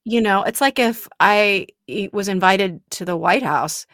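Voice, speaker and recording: A woman's voice: medium pitch, unhurried, fairly bright tone, dry recording, studio quality. A woman speaking earnestly and emphatically in a casual faith conversation, building her points with repetition.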